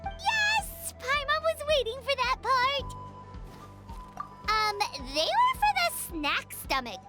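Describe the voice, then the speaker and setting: A tiny, cheerful fairy glutton from an anime game. The voice is extremely high and squeaky, swooping widely, and takes its time. Music plays clearly behind it. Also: female voice, huge pitch swings, slow pace, exaggerated voice. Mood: eager. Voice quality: squeaky, childlike. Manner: refers to self in third person, exaggerated.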